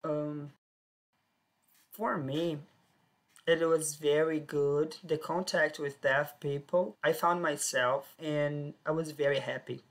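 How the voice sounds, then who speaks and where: A woman telling her own life story in plain, simple sentences with short pauses. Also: low voice, steady tone. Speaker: personal storyteller. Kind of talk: first-person life story.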